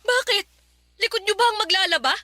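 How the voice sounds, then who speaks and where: Defiant young girlfriend for radio drama: very high, very sharp, whining, always arguing back. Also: lively intonation, a little fast, full character voice. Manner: whiny, argumentative, talks back. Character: defiant, aggrieved young pregnant live-in girlfriend. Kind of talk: Filipino radio drama acting. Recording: music bed behind the voice.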